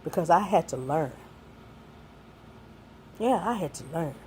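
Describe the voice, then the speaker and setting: A woman in her sixties shares hard-won advice with young people in a folksy, heartfelt way, leaning on faith and plain talk. Her voice sits low and mellow. A faint hiss of noise runs under the recording. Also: expressive, a little slow. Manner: earnest and folksy, mixing faith and street talk. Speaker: speaker giving life advice.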